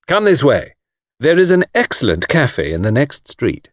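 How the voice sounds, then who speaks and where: Man playing a breezy, lively gentleman adventurer. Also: mid-range voice, no room sound, clean studio sound, voice pushed up, lightly acted. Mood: brisk, cheerful. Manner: offhand, glib, brisk. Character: breezy, flippant gentleman adventurer working as tour guide. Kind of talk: audiobook character voice.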